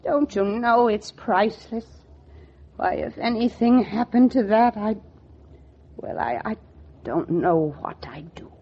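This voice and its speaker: A woman's voice: a little high, lively intonation, slow, full character voice. An actress playing a stern, domineering older lady in a 1940s radio drama, scolding in an imperious, theatrical way. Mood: angry scolding. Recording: dull vintage 1940s radio recording.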